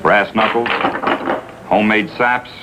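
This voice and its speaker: A man playing a businesslike, exasperated American juvenile officer in a 1950s police drama, brisk and factual. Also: on the low side, steady tone, natural voice. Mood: grim, brisk. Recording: noisy vintage TV soundtrack.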